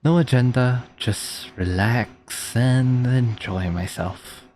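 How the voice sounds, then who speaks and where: A man's voice: medium pitch, slow, darkish tone, a little hiss or hum. A man giving casual playthrough commentary as a video game streamer. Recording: game music behind the voice.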